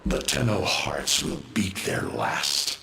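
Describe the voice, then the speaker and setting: A gravelly, menacing alien being. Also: male voice, low-pitched, very animated, sharp tone, slight background noise, exaggerated voice. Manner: slow, ominous, gravelly pronouncements. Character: ancient menacing sentient being, male, huge, vengeful.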